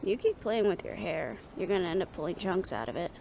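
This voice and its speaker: A woman's voice: fairly low pitch, big pitch swings, fairly quick, creaky, darkish tone, slight background noise. A woman playing a guarded, stressed young woman in a fantasy audio roleplay, speaking conversationally and with some hesitation. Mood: gently teasing. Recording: music behind the voice.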